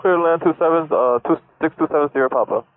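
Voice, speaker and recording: A man's voice: fairly high pitch, quick pace. A man, a student pilot in a small plane, making radio readbacks and talking himself through callouts on an aviation headset. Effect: aviation radio and headset intercom. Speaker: student pilot flying solo. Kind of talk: radio readbacks and self-talk callouts while flying. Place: small airplane cockpit. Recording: tinny, narrowband cockpit intercom audio.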